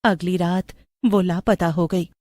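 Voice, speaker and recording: Casting: female story narrator, slightly low voice, close dry sound, scripted storytelling. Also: steady tone, slow, a little mellow, no room sound.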